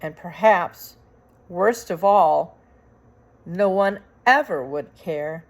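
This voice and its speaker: A woman reading a children's story aloud as narrator, with dramatic, deliberate phrasing. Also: on the low side. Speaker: storybook reader.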